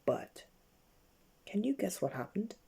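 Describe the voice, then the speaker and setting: Husky, mellow bedtime story reader. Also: medium pitch, very animated. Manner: halting reading with slips.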